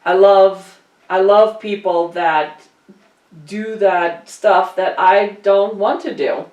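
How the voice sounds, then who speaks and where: A woman's voice: mid-range voice, fairly even intonation, slow pace, a little mellow, lo-fi sound. A woman from Germany hosting a casual talk show, speaking emphatically and earnestly and repeating phrases to drive home her opinions. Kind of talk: casual conversational opinion chat. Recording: roomy echo, distant from mic.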